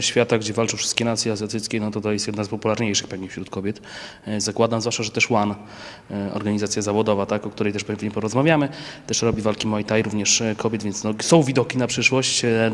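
Male interviewer, thirties, very flat intonation, steady questions, long reverb, handheld mic. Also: on the low side. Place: outdoors by a banner at an event.

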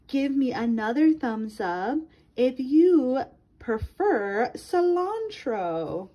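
A woman reads a picture book for young children in a high, mellow voice. She takes it very slowly, with a sing-song delivery that speaks straight to the little listeners. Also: very animated, muffled recording. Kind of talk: children's read-aloud.

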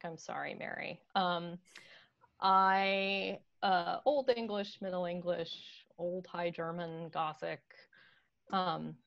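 A woman's voice: fairly low pitch, lo-fi sound. A middle-aged woman chatting as a guest on a video call from home over earbuds, playful and teasing, laughing easily. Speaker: guest lexicographer. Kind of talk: casual video-call chat. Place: home room. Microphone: earbud headset on video call.

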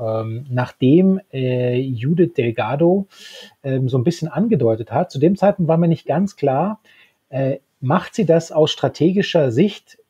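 Man in his thirties, very husky, mellow, casual podcast co-host, self-interrupting storyteller. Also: on the high side, no room sound. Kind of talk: casual discussion and retelling of an audio drama. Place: small book-lined home room, indoors, remote video call. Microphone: handheld-style recorder close on desk. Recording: dull, muffled podcast recording.

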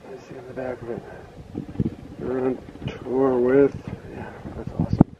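A middle-aged man giving a casual, halting show-and-tell in a small indoor room on a handheld camera. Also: medium pitch, completely flat delivery, dull audio. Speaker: reseller showing storage unit finds. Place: small cluttered home office, indoors. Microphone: handheld phone or camera. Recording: noisy handheld home recording.

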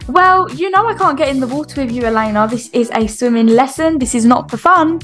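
A woman's voice: sits high, animated, fairly quick, fairly bright tone, faint music behind. A young woman with a British accent, chirpy and exclaiming, doing a game roleplay vlog as the mum. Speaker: video creator playing a mum in a game roleplay.

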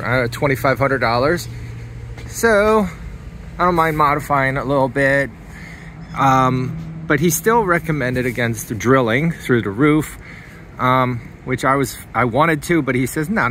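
A man giving a casual, unscripted hands-on walkthrough in short, halting phrases. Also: mid-range voice, a bit bright. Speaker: hobbyist demonstrating an antenna installation. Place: outdoors beside a car in a residential driveway. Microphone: handheld phone filming the car. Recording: outdoor noise behind the voice.

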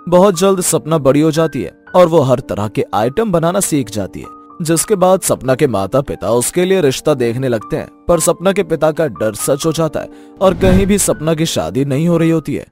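A man's voice: a little high, sing-song, normal speed, darkish tone, faint music behind. A man giving scripted narration of a moral story.

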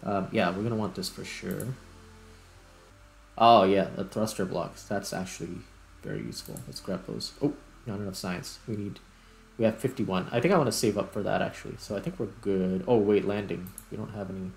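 Man, gaming host, low-pitched, gravelly fry, casual halting think-aloud commentary. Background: music.

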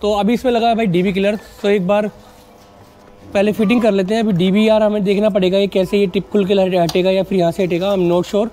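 A man's voice: high voice, little pitch movement, a little fast, dark tone. A man, chatting casually and informally to viewers as a vlogger, mixing in English words. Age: 20s. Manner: informal, addresses viewers as buddies. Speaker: motorcycle vlogger and presenter. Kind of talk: casual vlog chat with English words mixed in. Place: narrow residential street outdoors. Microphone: wired lavalier microphone.

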